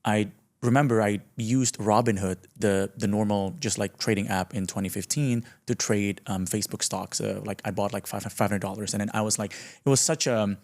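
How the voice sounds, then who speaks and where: A man's voice: lowish voice, steady tone, moderate pace, a little mellow. A man in his late twenties from Indonesia, talking casually and self-deprecatingly as a podcast guest in an informal, slangy way. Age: late 20s. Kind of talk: casual conversational interview.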